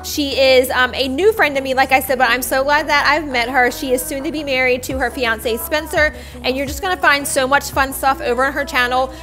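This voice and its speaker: A young woman with a high voice narrates her home cleaning routine as a voice-over. She sounds chatty, friendly and upbeat. Soft music plays faintly under her words. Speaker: cleaning vlogger, stay-at-home mom. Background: faint background music.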